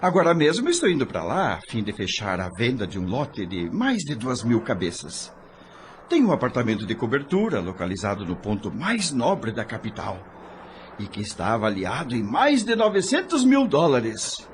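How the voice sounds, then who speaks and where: A man's voice: mid-range voice, huge pitch swings, moderate pace, a little hiss or hum. An actor playing a jovial, boastful, worldly middle-aged man in an audio drama, hearty and self-satisfied, given to chuckling. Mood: boastful, challenging.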